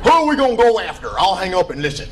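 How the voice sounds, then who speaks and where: Man doing an eager, higher-pitched football radio caller. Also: big pitch swings, rapid-fire, noisy background. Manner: polite, eager, rambling questions. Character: eager football-obsessed radio call-in listener.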